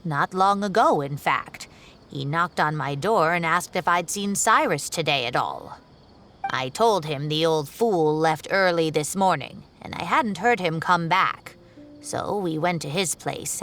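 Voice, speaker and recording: A woman voicing a genteel, worried older female professor in a video game, with gently fretful exclamations. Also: fairly low pitch, lively intonation, measured pace, faint music behind. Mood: concerned, recounting.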